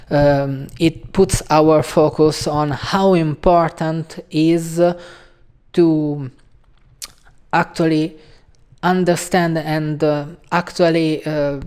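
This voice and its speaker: A woman with an Italian accent, a native Italian speaker hosting her own channel and talking casually in English about a book she read. She speaks hesitantly, with many pauses and fillers. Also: deep voice, mellow, clear, resonant tone. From Italy. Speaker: channel host. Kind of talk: casual video talk on a book.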